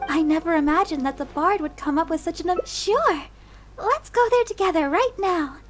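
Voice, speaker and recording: An eager young deaconess from a fantasy game. She sounds sweet and upbeat, with a high voice. Game music plays behind. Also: animated, normal speed. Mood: cheerful.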